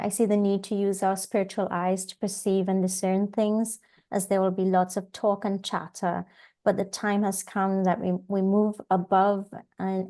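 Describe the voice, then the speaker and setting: Brief: hoarse woman tarot reader, mellow, monotone, earnest with small restarts, on a video call. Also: mid-range voice. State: hoarse from a cold. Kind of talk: casual spiritual panel chat.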